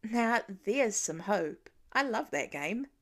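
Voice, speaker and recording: A woman's voice: fairly high pitch, expressive, slow, fairly bright tone, heavily acted. A woman voicing a good-natured, incredulous teenage big brother who banters in a story reading. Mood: bantering.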